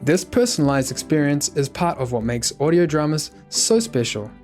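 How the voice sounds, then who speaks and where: A man's voice: fairly high pitch, big pitch swings, moderate pace, darkish tone. A man reading scripted documentary narration. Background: faint guitar music.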